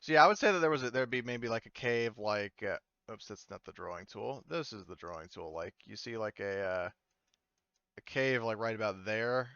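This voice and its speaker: A man with a fairly low, smooth voice works as game master for an online tabletop session. He improvises hesitantly, thinking out loud with casual swearing. The sound is close, dry and a little dull. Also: animated, moderate pace, no room sound.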